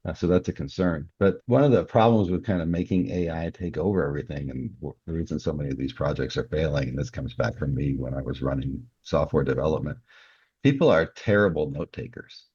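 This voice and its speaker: A man with a very deep, husky voice talks casually as an interview guest. He explains things in a reflective way. The recording is a little dull and lo-fi. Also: a little fast, a little mellow, no room sound. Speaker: guest, author and cybersecurity professional. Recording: slightly dull, lo-fi sound.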